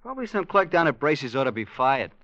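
Blunt husband, wildly swinging pitch. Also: male voice, sing-song, fast, pushed and bright, dry recording. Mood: dismissive. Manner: scoffing, slangy, offhand. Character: dismissive, blunt working-class husband. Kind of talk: 1940s radio drama acting. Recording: dull, dry vintage radio recording.

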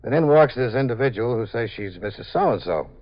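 A man's voice: medium pitch, expressive, a little fast, a little hiss or hum, lightly acted. A man with an American accent playing a tired, wry detective lieutenant with an easygoing manner in a 1950s radio drama. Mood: wry, amused. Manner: easygoing, anecdotal, deferential. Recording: muffled 1950s radio recording.

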